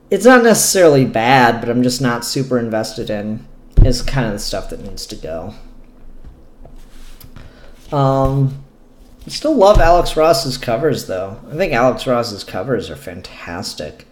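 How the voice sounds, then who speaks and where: A man's voice: mid-range voice, sing-song, measured pace, a little mellow. A man hosting a casual, unscripted review vlog, talking in a candid, rambling way with the odd swear word. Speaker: comic book reviewer, show host. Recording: echoey room.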